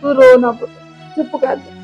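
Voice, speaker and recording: A woman playing a kindly middle-aged aunty in an emotional drama, coaxing and soothing with gentle insistence. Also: high-pitched, flat delivery, fairly quick, highs cut off, close to an everyday voice. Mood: comforting, indignant. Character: kindly, motherly older aunty comforting a distressed young woman. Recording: music behind the voice.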